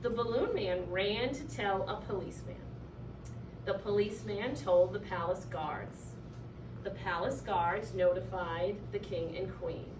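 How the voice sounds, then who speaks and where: A woman reading a children's story aloud in an expressive, animated way, drawing out key words for effect. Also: mid-range voice, unhurried. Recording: roomy, distant mic, clearly noisy.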